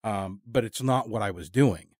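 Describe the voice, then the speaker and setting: A man hosting a casual podcast interview, talking candidly in a halting, reflective way. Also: on the low side, a little mellow, dry recording, clean studio sound.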